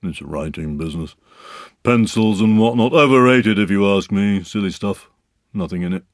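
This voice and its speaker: A man voicing a gloomy, self-important donkey in a storybook reading, speaking in a ponderous, drawn-out way. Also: lowish voice, expressive, darkish tone, no room sound, clean studio sound. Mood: gloomy, resentful. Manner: ponderous, pompous, drawn-out delivery. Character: gloomy, self-important, long-suffering old grey donkey.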